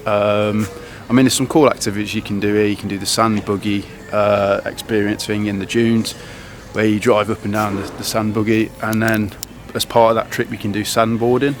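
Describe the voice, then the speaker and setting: A man's voice: lowish voice, flat delivery, normal speed, few pauses. A young man chatting casually to a handheld camera as a travel vlogger, rambling with lots of fillers. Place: outdoors in towns and on the road. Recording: outdoor camera audio with noise.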